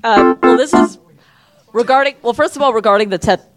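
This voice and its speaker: A woman's voice: a little high, fairly quick, over loud music. A woman in a live audience putting a casual, somewhat tentative question to the hosts. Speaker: audience member asking a question. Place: room at a live show.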